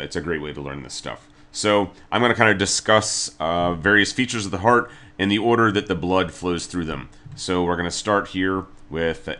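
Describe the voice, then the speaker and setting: A man's voice: sits low, bright, forceful tone. A man giving a casual tutorial as an instructor, talking informally and a little hesitantly, step by step. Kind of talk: casual video tutorial narration.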